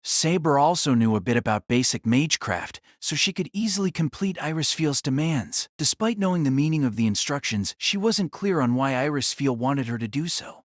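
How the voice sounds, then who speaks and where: A man narrates a story from a script as an audio drama narrator. His voice sits a little high, and the recording is dry, without room sound. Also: lively intonation, normal speed, few pauses, no echo.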